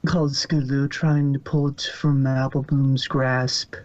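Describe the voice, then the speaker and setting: A man's voice: mid-range voice, flat delivery, measured pace, a little mellow. A man narrating fan fiction as a scripted story reading. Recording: muffled, dry lo-fi recording.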